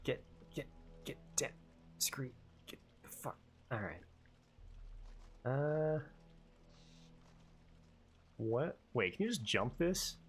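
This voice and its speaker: A man's voice: mid-range voice, big pitch swings, slow pace, pauses often. A man casually commentating his gameplay, cursing and rambling with self-mocking jokes. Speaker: let's player.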